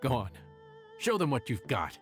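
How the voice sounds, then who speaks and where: An easygoing mid-pitched kitchen mentor. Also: male voice, huge pitch swings, normal speed, soft background music. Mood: encouraging. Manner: amused, encouraging. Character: easygoing, encouraging man, seasoned kitchen mentor. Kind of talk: anime-style video game voice acting.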